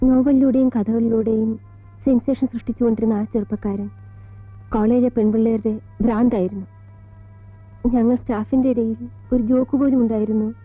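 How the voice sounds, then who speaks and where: An actress playing a composed middle-aged college teacher in a film drama, speaking in a measured Malayali accent with deliberate pauses between short phrases. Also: female voice, fairly high pitch, little pitch movement, no room sound, lightly acted. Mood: sombre, reflective. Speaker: actor in a film. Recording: tinny band-limited old film soundtrack, music behind.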